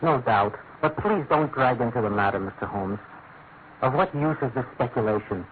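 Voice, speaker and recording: An actor playing a troubled, deferential British senior clerk, formal and measured, in a classic radio drama. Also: male voice, mid-range voice, expressive, lightly acted. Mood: defensive, troubled. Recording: noisy, narrow-band old radio recording.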